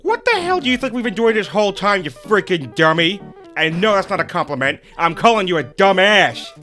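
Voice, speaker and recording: Cocky, taunting game-parody lead, male actor, somewhat high and sharp, casually mocking. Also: sing-song, brisk, soft background music, full character voice. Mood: exasperated. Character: cocky, taunting, foul-mouthed wisecracker. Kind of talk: comedic fan dub of a video game.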